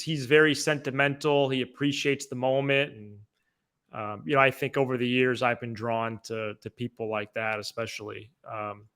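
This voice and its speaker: Bright, crisp-voiced male sports podcast host. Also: mid-range voice. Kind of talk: casual sports commentary.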